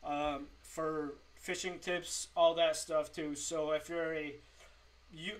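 A man in his forties giving informal tips to camera in a halting, improvised way from a home room. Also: fairly high pitch, little pitch movement, recorded from a distance. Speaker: fishing tips presenter. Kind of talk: informal tutorial talk to camera. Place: small home streaming room, indoors.

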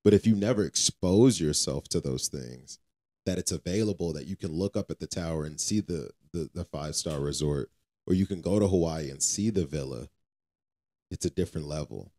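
A man's voice: extremely low pitch, brisk, mellow. A man co-hosting a faith podcast, talking casually in an earnest, exhorting way and repeating phrases for emphasis. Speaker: podcast co-host.

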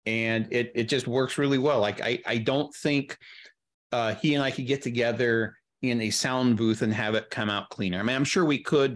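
A middle-aged man with an average-pitched voice talks through a process in an interview. He is thoughtful and halting, building his explanation step by step, and sits close to a podcast microphone. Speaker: guest, audio drama editor and sound designer. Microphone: close podcast mic on a video call.

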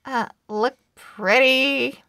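A woman's voice: high-pitched, fairly even intonation, drawn-out words, bright, forceful tone, dry recording, studio quality, full character voice. A woman voicing a proud, sassy female cat from a children's storybook in a haughty, teasing way. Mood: showy, haughty.

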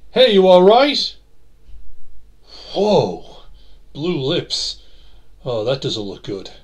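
A man plays a friendly guy in an audio drama. He is easygoing and caring, with an expressive delivery and an everyday male voice. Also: on the high side, extremely slow, voice pushed up, full character voice. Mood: alarmed, urgent. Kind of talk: audio roleplay acting.

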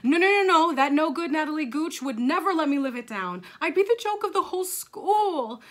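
A woman voicing a whiny, dramatic young schoolgirl, high and bright. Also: expressive, normal speed. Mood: panicked. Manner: whiny, pleading, exclaiming. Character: dramatic young schoolgirl who loves bubble gum. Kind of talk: children's storybook character voice.

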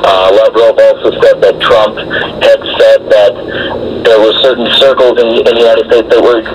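A man giving a live radio news report as a correspondent, in hesitant, measured phrases, heard through a small transistor radio. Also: fairly low pitch, level tone, noisy background.

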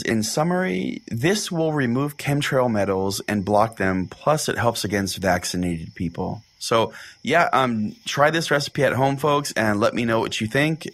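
A man's voice: mid-range voice, a bit bright. Mock-earnest, oblivious pastor played by a man. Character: oblivious, quirky pastor hosting a spoof religious show. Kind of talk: comedic podcast hosting and reading aloud.

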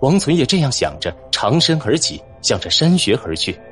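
A man's voice: mid-range voice, very animated, moderate pace, fairly bright tone. A man reading a fantasy novel as a dramatic audiobook narrator. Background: music.

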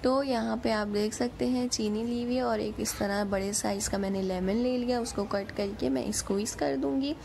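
A woman's voice: a little high, steady tone, normal speed, gravelly, few pauses, darkish tone, a little hiss or hum. A woman with a Pakistani accent giving a chatty, informal recipe voice-over as a home cook.

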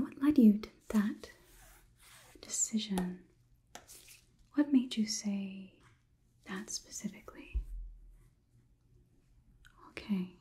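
A young woman whispering softly and deliberately in an ASMR roleplay, playing a curious, logical robot overlord who questions the listener clinically. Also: mid-range voice. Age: young adult. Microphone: close ASMR microphone.

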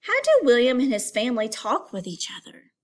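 A woman teacher giving a read-aloud lesson for children, instructive and expressive, as if putting questions to pupils. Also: a little high, a little fast.

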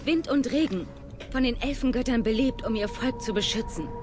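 A woman's voice: a little high, brisk, music in the background, close to an everyday voice. A woman voicing an earnest female elven warrior companion in a fantasy game. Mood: earnest, awed. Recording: loud game battle noise behind.

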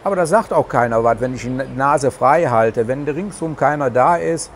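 A middle-aged man talks with a regional German dialect in a loose, rambling way, stopping often to search for words. He is recorded outdoors on a terrace through a lapel mic, with faint outdoor ambience behind him. His voice sits at an ordinary middle pitch. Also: normal speed, slight background noise. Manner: rambling, many hesitations, chatty. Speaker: vlogger. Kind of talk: casual talk to camera. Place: covered terrace outdoors in the tropics.

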